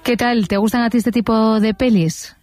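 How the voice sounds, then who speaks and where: A woman's voice: fairly high pitch, steady tone, normal speed, darkish tone, soft background music. A woman with an accent from Spain, hosting a radio call-in show in a casual, chatty way. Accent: Spanish, from Spain. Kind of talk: casual phone-in chat. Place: radio studio.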